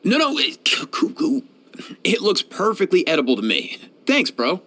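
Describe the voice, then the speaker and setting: Laid-back young vet, lively higher voice. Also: male voice, moderate pace, full character voice. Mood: casual. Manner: casual, slangy, easygoing. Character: laid-back, kindly young male veterinarian. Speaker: video game character voice actor. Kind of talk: game voice lines, conversational.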